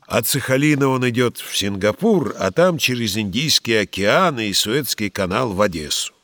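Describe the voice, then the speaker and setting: Casting: male actor for brisk, respectful messenger; very expressive intonation, mid pitch, audio drama. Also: no echo, lightly acted. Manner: respectful, urging.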